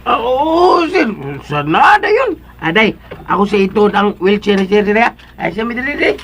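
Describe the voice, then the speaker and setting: An actor playing an excitable, deferential male servant in a radio drama, chattering in a flustered, eager-to-please way. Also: high voice, normal speed, slight background noise. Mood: flustered, hurried. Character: Bitoy, excitable deferential male servant to a wheelchair-using boss.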